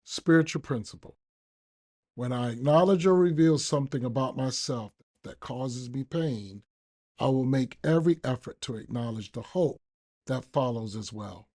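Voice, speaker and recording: A man reading a daily reflection aloud for a recovery podcast, with measured, deliberate phrasing. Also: mid-range voice, dark tone. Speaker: guest reader on a recovery podcast.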